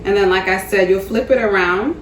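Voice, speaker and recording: A woman's voice: medium pitch, brisk, a little hiss or hum, low-quality recording. A woman hosting a cooking show, narrating a recipe in a chatty, upbeat way with casual slang and frequent laughs.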